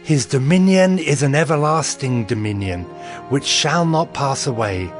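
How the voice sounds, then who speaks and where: An awestruck prophet played by a male actor recounts fearsome visions in a dramatized scripture. His delivery is grave and very unhurried, every phrase deliberate. Clear dramatic music plays behind his middle-pitched voice. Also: lively intonation, natural voice. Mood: reverent. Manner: solemn, deliberate storytelling.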